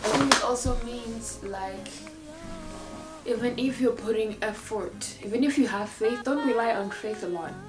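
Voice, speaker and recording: A woman's voice: fairly high pitch, normal speed, music in the background. Teasing young woman in a bedroom chat. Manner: teasing, joking, laughs between phrases. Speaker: guest co-host. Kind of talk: casual chat. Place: bedroom, indoors.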